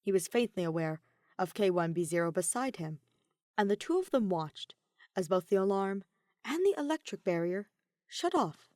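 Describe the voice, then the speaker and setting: A woman's voice: mid-range voice, expressive, normal speed, mellow, no room sound, clean studio sound. A woman reading a story aloud as scripted narration, like an audiobook. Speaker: fan fiction audiobook reader.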